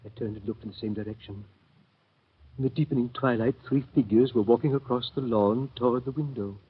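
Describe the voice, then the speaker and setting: Nervous stammering visitor, husky, 1930s radio play, muffled recording. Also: male voice, mid-range voice, fairly even intonation, brisk. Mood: tense narration. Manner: stammering, flustered. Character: nervous young male visitor and narrator.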